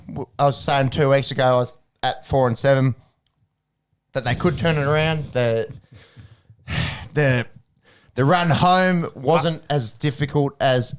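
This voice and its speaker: A man's voice: medium pitch, clean tone. A man co-hosting a casual sports podcast, rambling with restarts and jokes. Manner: rambling, restarts, jokey, laughs often. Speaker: podcast co-host.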